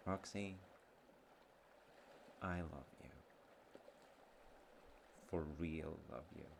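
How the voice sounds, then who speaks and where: Man acting a deep, creaky, tender sweetheart. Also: noisy background, heavily acted. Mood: loving, earnest. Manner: tender, halting, earnest. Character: sweet, slightly slow childhood friend, male, sincerely in love. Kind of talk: intimate romantic audio roleplay.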